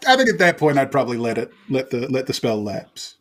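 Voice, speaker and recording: A man with a New Zealand accent speaks casually during a tabletop game. His voice is mid-pitched, over a faint layer of noise. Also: sing-song, a little fast, a bit bright. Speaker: tabletop role-playing game player.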